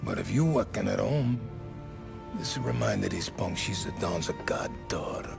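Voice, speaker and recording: Game role: protective Italian father, broken English, animated swings in pitch, clear music behind. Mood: worried. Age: middle-aged or older. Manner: hesitant, halting broken English. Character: anxious, protective Italian father and bar owner. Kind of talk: dramatic game cutscene acting.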